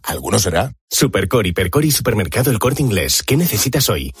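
A man's voice: lowish voice, sing-song, brisk. A man reading a radio commercial in a breezy, persuasive, conversational way. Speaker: commercial voice-over. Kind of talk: conversational ad read.

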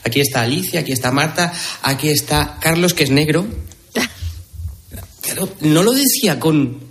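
A man's voice: a little high, animated, normal speed, fairly bright tone. Earnest man telling an anecdote. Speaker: radio panel guest. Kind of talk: reflective discussion with anecdote.

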